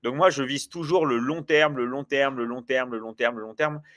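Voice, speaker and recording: A man's voice: medium pitch, moderate pace, a bit bright. A man in his forties hosting a casual livestream, chatting loosely with viewers and rambling with many hesitations and restarts. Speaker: livestream host.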